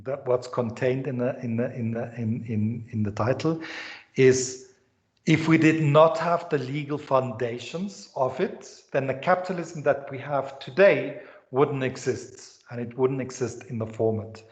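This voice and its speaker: A man's voice: medium pitch, unhurried. A man with a Swiss accent giving a university economics lecture, rambling and restarting his sentences often. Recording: echoey lecture room, dull lo-fi sound.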